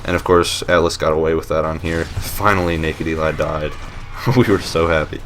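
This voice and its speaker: A man with a deep voice hosting a casual voice-over commentary on gameplay, relaxed and rambling with lots of fillers and restarts, and a dry, self-mocking humor. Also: moderate pace, slight background noise. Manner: dry, joking self-mockery. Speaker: host of a map showcase series.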